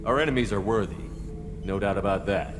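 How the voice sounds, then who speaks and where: Man as a low-voiced commander, reverberant. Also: a little fast, lightly acted. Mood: firm, steady. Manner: firm, direct, controlled. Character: male military starship commander, firm and steady. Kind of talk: video game character acting. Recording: long reverb, clearly noisy backdrop.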